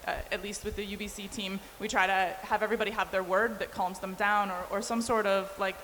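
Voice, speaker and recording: A woman's voice: medium pitch, level tone, fairly quick, a bit bright. A woman from Canada who coaches a university team, speaking steadily and articulately on a panel. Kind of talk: panel discussion. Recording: reverberant hall with faint noise.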